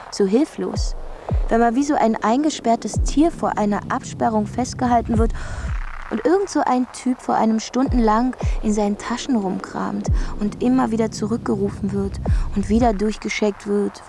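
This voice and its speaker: A woman's voice: medium pitch, close to an everyday voice. An actress playing a frantic, frustrated working woman who tells her story bluntly and urgently in a German radio drama. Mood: agitated recounting. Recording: music behind the voice.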